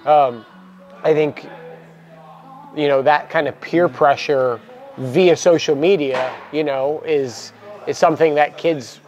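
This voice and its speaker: A young man co-hosting a casual talk about coaching baseball, relaxed and filler-heavy, on a lapel mic in a cluttered equipment room. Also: a little high, slow, pauses often, muffled recording, soft background music. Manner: relaxed, many "you know" fillers. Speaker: baseball program coach and co-host. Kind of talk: casual podcast chat.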